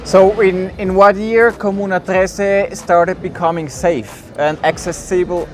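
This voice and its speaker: High-voiced man hosting a travel interview. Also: fairly even intonation, a little slow, slight background noise. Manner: friendly, asks simple questions with restarts. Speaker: travel vlog host interviewing a guide. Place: outdoors on stairs in a city neighborhood.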